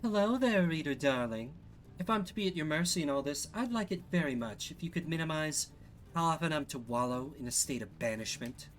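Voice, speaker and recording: A man's voice: fairly high pitch, expressive, brisk, natural voice. A man voicing a sly, flirtatious winged harpy woman from a game, with a teasing manner. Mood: silky. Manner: teasing, saying darling.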